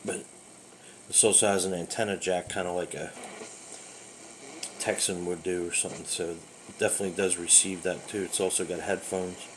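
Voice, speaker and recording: A man's voice: low voice, moderate pace, long pauses, noisy background. Man casually demoing an old radio. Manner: relaxed, rambling explanations with fillers. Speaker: radio hobbyist reviewing a radio. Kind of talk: casual product walkthrough. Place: kitchen indoors at home.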